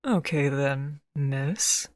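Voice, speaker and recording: A woman voicing a friendly young male clinic receptionist in a narrated story, soft, polite and helpful. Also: a bass voice, drawn-out words, no room sound, full character voice. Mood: gentle. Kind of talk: scripted audiobook character dialogue.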